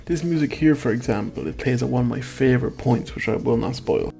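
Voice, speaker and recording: Male reviewer, mid-pitched, tongue-in-cheek scripted narration, music underneath. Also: a little fast, creaky, mellow. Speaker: video game reviewer.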